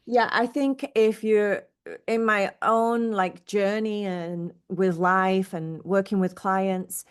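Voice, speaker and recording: A woman's voice: mid-range voice, fairly even intonation, slow pace, clean tone. Bubbly woman cohost chatting on a podcast, medium voice, laughs a lot. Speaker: podcast co-host, spiritual teacher. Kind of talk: casual podcast chat.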